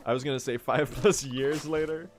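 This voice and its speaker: A late-teen man from Sweden, a native Swedish speaker, chatting casually on a gaming livestream in a rambling, joking way with sudden excited outbursts. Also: mid-range voice, moderate pace. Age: late teens. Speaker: streamer.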